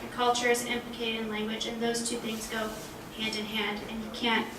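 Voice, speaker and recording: A woman's voice: on the high side, level tone, a little fast, crowd noise. A young woman in her twenties, speaking conversationally as a panelist and sharing personal anecdotes. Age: 20s. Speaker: panelist, language graduate. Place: college panel event room. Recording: roomy, distant mic, faint background noise.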